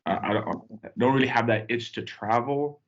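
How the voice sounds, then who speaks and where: A young man from Tanzania, a podcast guest, telling his story in a relaxed, rambling way with lots of fillers. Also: medium pitch, moderate pace, muffled recording. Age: young adult. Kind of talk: casual podcast chat.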